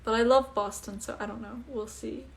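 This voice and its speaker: A woman's voice: on the high side, fairly even intonation, normal speed, slight background noise. A young woman, a singer chatting casually at home during a phone livestream, answering comments in a breezy way with lots of fillers. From Iceland. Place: at home, indoors.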